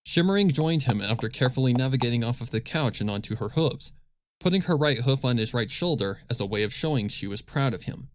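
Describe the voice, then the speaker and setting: A man's voice: mid-range voice, animated, a little fast. A man narrating scripted fan fiction as a storyteller, close on the microphone.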